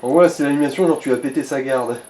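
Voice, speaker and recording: A man's voice: mid-range voice, quick pace, a little mellow, a little hiss or hum. A man casually co-commenting on a video game, giving advice in blunt slang. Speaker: co-commentator advising the player. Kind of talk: casual gaming chat.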